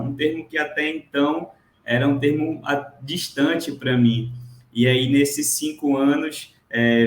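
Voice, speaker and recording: A man in his twenties from the interior of Bahia with a Bahian and Pernambucan accent, earnestly introducing himself as a social entrepreneur on a video call. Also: mid-range voice. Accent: Bahian and Pernambucan Portuguese. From interior of Bahia, Brazil. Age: 20s. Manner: earnest, with many "é" fillers. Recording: roomy sound with faint background noise.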